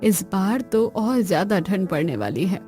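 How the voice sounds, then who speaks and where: A woman's voice: medium pitch, animated, measured pace, dark tone, faint music behind, natural voice. A woman voicing an older cartoon mother-in-law who is stern, worrying and caring. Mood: worried. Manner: scolding, worrying.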